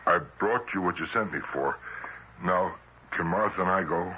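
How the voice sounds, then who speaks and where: An anxious but defiant everyman husband from an old radio play. His voice is deep and sharply tense, earnest and halting. It comes over a muffled, faintly hissy broadcast. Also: sharp tone. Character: anxious, defiant ordinary husband caught up with gangsters. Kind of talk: 1970s radio drama acting.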